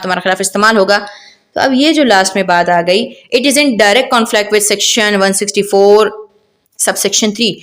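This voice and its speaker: A woman lecturing on law in an explanatory, didactic way, repeating and rephrasing points with rhetorical questions. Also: mid-range voice. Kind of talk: explanatory law lecture mixing Hindi-Urdu and English.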